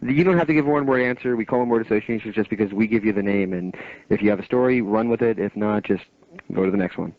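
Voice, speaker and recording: Fast-talking low-voiced man, radio interviewer. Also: dry recording. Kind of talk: casual interview chat. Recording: dull, lo-fi radio broadcast audio.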